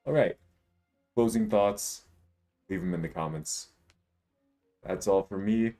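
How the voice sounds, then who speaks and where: A man in his twenties with a deep voice, chatting casually and jokingly while live-streaming a video game. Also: slow, warm tone, soft background music. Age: 20s. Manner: laid-back, joking, rambling.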